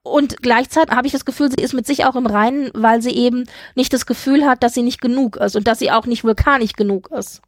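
A woman's voice: a little high, steady tone, fairly quick, hardly any pauses, dry recording, studio quality. A woman hosting a podcast, talking through a series review in an analytical, point-by-point way. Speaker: podcast host. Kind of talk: conversational review discussion of a TV series.

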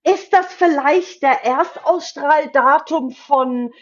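A woman's voice: sits high, takes it slowly. A woman chatting casually as a co-host on a fan podcast, recorded a little lo-fi. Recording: slightly dull, lo-fi microphone sound.

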